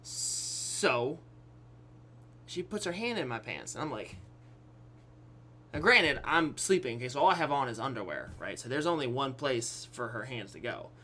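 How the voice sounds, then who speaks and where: A young adult man telling a personal story casually to his viewers, acting out quotes, pausing for effect and often checking that listeners follow. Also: medium pitch, sing-song, bright, forceful tone, slight background noise. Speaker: YouTube storyteller.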